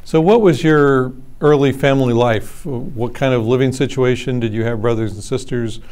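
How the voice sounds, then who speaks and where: Male interviewer with a mid-pitched voice. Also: normal speed, darkish tone. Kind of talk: formal oral history interview questioning.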